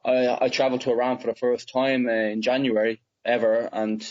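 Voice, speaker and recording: A man's voice: medium pitch, level tone, a little fast, darkish tone, muffled recording. A young man with a Dublin Irish accent, answering interview questions casually and hesitantly, with many fillers and restarts. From Ireland. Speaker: footballer guest.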